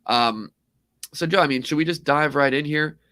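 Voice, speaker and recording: A man co-hosting a livestream in casual, joking chat, his voice a little hoarse, rambling upbeat talk. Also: fairly high pitch, normal speed, sharp tone. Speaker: co-host.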